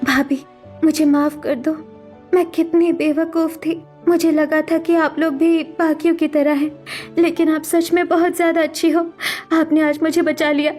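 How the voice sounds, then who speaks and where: A woman's voice: sits high, flat delivery, a little slow, a little mellow, soft background music. A woman voicing a sensitive young sister-in-law with earnest emotion in cartoon story dialogue. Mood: grateful, emotional.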